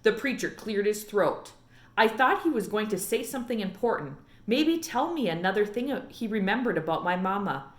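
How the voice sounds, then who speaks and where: A woman teacher narrating a children's storybook as an expressive read-aloud. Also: mid-range voice, normal speed, roomy sound. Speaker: elementary teacher.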